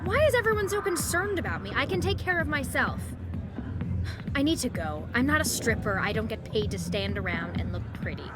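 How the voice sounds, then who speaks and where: An actress plays a feisty young woman bartender in a video game. Her voice is fairly high and very lively in pitch, sassy and defensive, over loud music. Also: a little fast, lightly acted. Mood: defensive, annoyed. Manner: sassy, dismissive.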